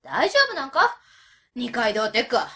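A woman acts out a hot-tempered Kansai auntie for a radio drama. Her voice is bright and forceful, swinging widely in pitch. She scolds bluntly in colloquial speech. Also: huge pitch swings, moderate pace, exaggerated voice. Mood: indignant, pressing. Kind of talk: web radio drama acting.

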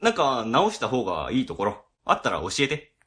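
A man acts a harried civil-service boss in a web radio drama. His bright, forceful voice rises and falls freely as he grumbles and explains in a casual, exasperated way. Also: medium pitch, wildly swooping pitch, brisk. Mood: nonchalant. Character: harried, grumbling, easygoing section chief at a ward office.

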